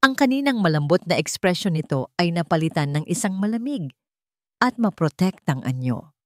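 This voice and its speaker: A woman's voice: on the low side, big pitch swings, moderate pace, dry recording, studio quality. A woman with a Filipino accent reading a scripted romance story as a dramatic narrator.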